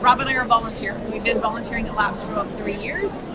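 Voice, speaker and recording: A woman's voice: fairly high pitch, fairly even intonation, fast talker. A woman hosting a live charity stream, chatty and full of fillers, losing her voice. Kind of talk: live event commentary. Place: busy indoor gala hall. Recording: loud crowd and noise behind.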